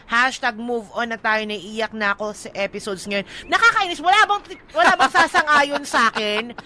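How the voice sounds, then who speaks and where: A woman's voice: fairly high pitch, moderate pace, pushed and bright. A Filipino woman hosting a radio show, arguing her side in casual, emphatic and playful banter. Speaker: radio host. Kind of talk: casual on-air banter and debate. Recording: clear background noise and ambience.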